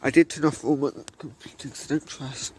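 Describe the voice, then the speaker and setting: A man's voice: medium pitch, fairly even intonation, a little fast, a little mellow. Rambling young British man, noisy recording. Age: 16, teenager. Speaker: student vlogger. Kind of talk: casual day-in-the-life vlog chat. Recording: clearly noisy amateur handheld recording.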